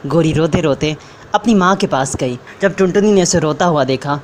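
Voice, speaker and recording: A woman's voice: sits low, normal speed, a little hiss or hum. A woman narrating a scripted children's story.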